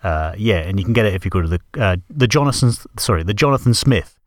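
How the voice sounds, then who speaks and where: A man's voice: on the low side, lively intonation, fast talker, no room sound, clean studio sound. A man hosting a music podcast, congested from a cold, rambling casually and correcting himself as he goes. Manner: rambling, self-correcting, apologetic. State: stuffy nose from a cold. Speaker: podcast host.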